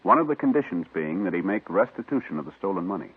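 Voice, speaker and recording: Sober, low-voiced American radio announcer. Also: male voice, sing-song, quick pace, slightly rough. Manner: sober, official. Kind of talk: formal scripted 1950s radio announcing. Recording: narrow-band vintage radio with music.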